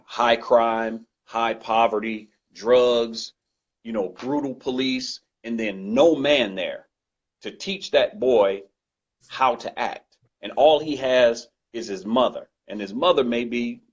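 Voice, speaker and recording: A man with a loud voice speaking earnestly and emphatically as a guest in a conversational discussion, often repeating his points. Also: medium pitch, slow. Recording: dull lo-fi recording.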